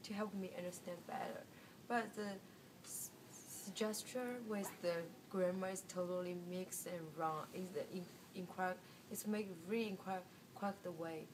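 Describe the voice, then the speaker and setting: A young woman from China with a Chinese accent, giving a class seminar presentation in a halting way with frequent restarts. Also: on the low side, fairly even intonation. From Guiyang, Guizhou, China. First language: Mandarin Chinese. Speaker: student presenter. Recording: distant mic with room noise.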